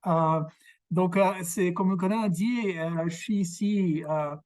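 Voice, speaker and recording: A man from Brazil with a Québécois French accent and Portuguese as his first language, giving a workshop lecture over a video call, halting and searching for words. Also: high voice, a little mellow. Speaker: guest lecturer, researcher. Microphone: video call microphone.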